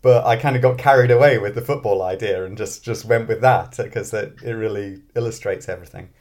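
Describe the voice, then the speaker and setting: A man's voice: lowish voice, a little fast, darkish tone. A man with a British accent, talking casually as an interview guest and thinking aloud in a self-deprecating way. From Britain, grew up in Scotland. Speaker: interview guest, mathematics professor and author.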